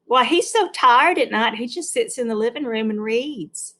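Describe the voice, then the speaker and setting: A woman's voice: fairly high pitch, expressive, moderate pace, fairly bright tone, voice pushed up. A woman reading a storybook as a frank, earnest young schoolgirl who protests in plain, folksy words. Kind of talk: read-aloud storybook character.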